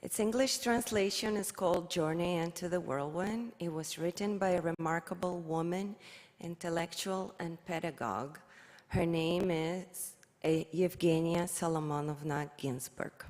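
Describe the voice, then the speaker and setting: A middle-aged woman from Cuba, giving a measured, careful reflection at a service. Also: lowish voice, steady tone, a little mellow. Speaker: worship leader. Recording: long hall reverb.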